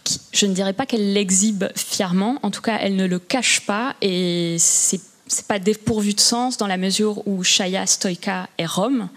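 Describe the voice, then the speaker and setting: A woman's voice: medium pitch, normal speed. A young woman, an art historian on a panel, explaining carefully with many fillers into a handheld mic in a lecture hall.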